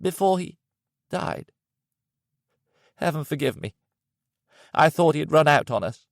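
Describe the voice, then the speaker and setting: A grief-stricken older count whose voice rises high and thins into whispers, as the narrator voices him. It sits well above the storyteller's own low tone and sounds unsteady. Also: male voice, expressive, unhurried, vocal fry, no room sound, studio quality.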